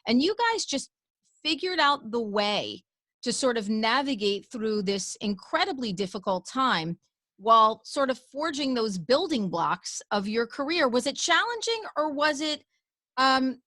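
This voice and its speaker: A woman's voice: a little high, fairly bright tone, no room sound, clean studio sound. A woman radio host, enthusiastically asking effusive interview questions.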